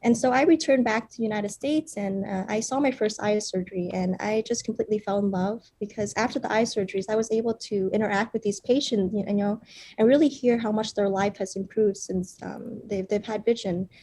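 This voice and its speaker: A young woman from Vietnam, a startup founder, gives an earnest pitch with frequent fillers. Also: brisk, warm tone, breathy edge, poor audio. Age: young adult.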